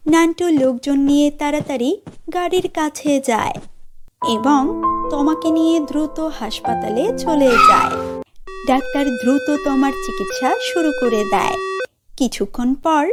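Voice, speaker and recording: Very high-pitched woman storyteller. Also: moderate pace, over loud music. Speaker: storyteller, narrator. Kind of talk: scripted children's story narration.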